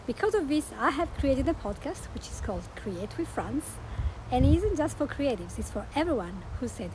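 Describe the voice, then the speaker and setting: A middle-aged woman with an Italian accent speaks earnestly to her audience, her voice sitting a little high and lifting often for emphasis. The recording carries a clear hiss of background noise. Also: big pitch swings, moderate pace. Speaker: podcast host and life coach. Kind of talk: promotional monologue.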